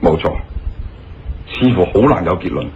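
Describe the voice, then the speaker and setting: An actor playing a stern, forceful police colonel in a Cantonese radio drama, blunt and demanding. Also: male voice, deep voice, expressive, moderate pace, slight background noise. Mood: businesslike. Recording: dull lo-fi radio recording, loud music behind.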